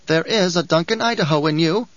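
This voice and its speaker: A man's voice: a little high, brisk, a bit bright, no room sound, lo-fi sound, voice pushed up, natural voice. Bijaz, a man doing a whining, spiteful dwarf. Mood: taunting. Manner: whining, giggling, taunting. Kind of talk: audiobook character reading.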